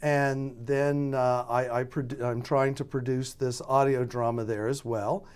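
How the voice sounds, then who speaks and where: Halting American man in an interview. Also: mid-range voice, little pitch movement, smooth. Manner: halting, thinking aloud. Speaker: interview guest, radio drama producer. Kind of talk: casual interview talk.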